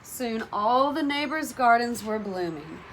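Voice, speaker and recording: A woman teacher reading a children's story aloud in an expressive, deliberate manner, with animated phrasing. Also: a little high. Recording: noticeable background noise.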